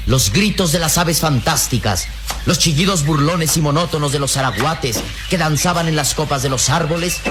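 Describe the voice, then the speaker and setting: A man's voice: mid-range voice, background noise. A man giving solemn, descriptive scripted narration for a radio drama. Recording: radio drama with loud music bed. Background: loud dramatic music.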